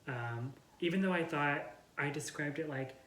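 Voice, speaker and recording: A woman with a low-pitched voice chats casually about books as a vlog host. Her delivery is loose and halting, padded with small filler words. The room adds an audible echo around her. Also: a bit bright, slight background noise. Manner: halting, filler-heavy with frequent "like". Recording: roomy, echoey home recording.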